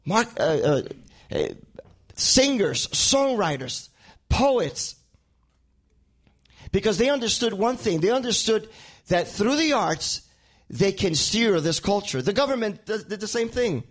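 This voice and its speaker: Male pastor, Spanish accent, high voice, preaching, repeats words as he builds toward a point. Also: very animated, measured pace, long pauses. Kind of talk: sermon.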